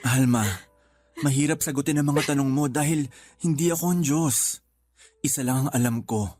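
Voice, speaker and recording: A man acting a patient, steadfast husband in a radio drama, earnest and gently reassuring. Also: on the high side, fairly even intonation, moderate pace, close to an everyday voice. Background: music.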